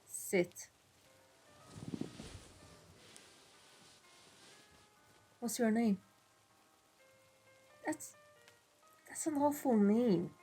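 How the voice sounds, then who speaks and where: Husky, dark-voiced female vampire mafia boss, acted by a woman; cold, imperious, measured ASMR roleplay over music. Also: fairly high pitch, heavily acted. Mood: haughty. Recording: music behind the voice. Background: classical background music.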